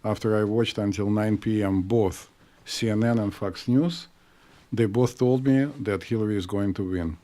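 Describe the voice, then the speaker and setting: Russian-accented male doctor co-host, lowish mellow voice, thoughtful explainer. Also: fairly even intonation. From Soviet Union. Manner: measured, explanatory, frequent uh fillers. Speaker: psychologist podcast co-host. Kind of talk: casual podcast discussion.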